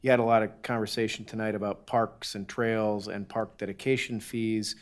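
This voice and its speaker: A man with a low, steady voice that stays level. He speaks as the city attorney at a council meeting and delivers his report in formal, careful terms. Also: moderate pace. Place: council chamber.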